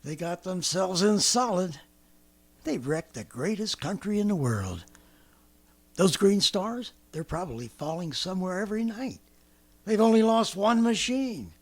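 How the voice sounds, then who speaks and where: A man's voice: sits high, expressive, unhurried, exaggerated voice. An actor playing a bitter, territorial militiaman survivor with a blunt, agitated, folksy manner in a radio drama. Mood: bitter, defeated. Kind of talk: 1930s-style radio drama acting.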